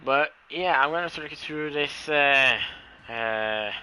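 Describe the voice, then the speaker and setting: A man's voice: on the high side, measured pace, pushed and bright, slight background noise. A man with a Norwegian accent, a second-language English speaker, doing solo live commentary of a sim race, hesitant with many fillers and self-corrections.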